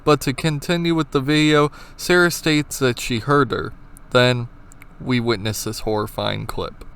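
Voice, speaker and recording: A man's voice: medium pitch, fairly even intonation, measured pace, creaky, fairly bright tone, clean tone. Man narrating casual, explanatory horror commentary. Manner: informal, explanatory. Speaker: video essayist, horror series analyst.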